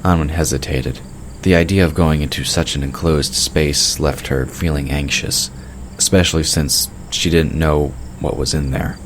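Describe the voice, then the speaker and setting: A man's voice: extremely low pitch, fairly even intonation, normal speed. A man reading scripted third-person narration for a fantasy audio drama. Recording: clear background noise of night crickets.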